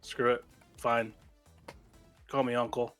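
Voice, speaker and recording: A man's voice: mid-range voice, level tone, slow, fairly bright tone, soft background music. A young man with a Vietnamese American accent, chatting casually on a panel call and laughing often as he tells stories about himself. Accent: Vietnamese American English. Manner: laughs often, self-deprecating storyteller. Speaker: VTuber panel guest.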